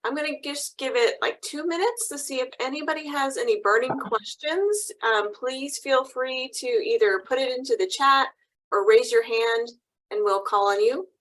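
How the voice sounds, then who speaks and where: A woman's voice: high voice, moderate pace, fairly bright tone, rough recording. A woman moderating a webinar over a video call, friendly and inviting questions. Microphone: computer mic on a video call.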